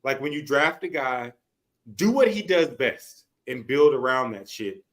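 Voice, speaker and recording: A man's voice: mid-range voice, animated, measured pace. A man hosting a sports podcast in casual chat, talking in a slangy, profane way.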